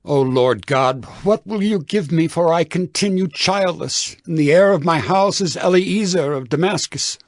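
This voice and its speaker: A man's voice: a little high, moderate pace, hardly any pauses, dry recording, clean studio sound, close to an everyday voice. An actor playing an earnest biblical patriarch who pleads with his Lord in a dramatized Bible reading. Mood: earnest pleading.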